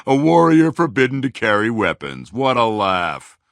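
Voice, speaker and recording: A man's voice: medium pitch, very animated, moderate pace, gravelly, fairly bright tone, dry recording. An actor playing a mocking male dwarf bully in a video game, sneering, theatrical and full of contempt. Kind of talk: video game character acting.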